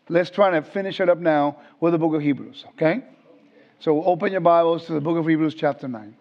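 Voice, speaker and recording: A man in his fifties from Puerto Rico, giving an informal Bible lesson and often quizzing his class. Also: a little high. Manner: conversational, asks the audience questions. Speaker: Bible teacher. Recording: dull lo-fi sound.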